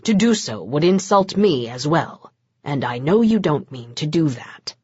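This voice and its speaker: A man's voice: a little high, measured pace, husky, no echo, highs cut off, voice pushed down, exaggerated voice. A woman voicing a patient, tactful male vampire elder in an audiobook. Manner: calm, patient.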